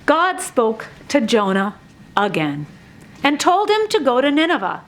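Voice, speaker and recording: A woman with a very high voice tells a children's story at a slow pace. Her pitch swoops widely, and she gives words dramatic, drawn-out emphasis. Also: wildly swooping pitch, a little hiss or hum. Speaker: narrator of a children's church puppet show. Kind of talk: expressive scripted children's storytelling.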